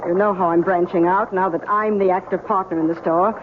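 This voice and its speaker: Actress as nagging bossy female shopkeeper, sarcastic, brisk, thin noisy old radio play sound. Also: lowish voice, fairly even intonation, narrow, tinny audio, close to an everyday voice. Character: bossy, needling sister-in-law running the shop. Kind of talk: 1970s radio drama acting.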